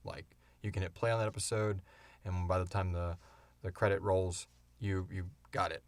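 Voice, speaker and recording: Deep-voiced man chatting casually as podcast co-host. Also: flat delivery, normal speed, no room sound. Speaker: podcast co-host and show creator. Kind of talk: casual Q&A chat.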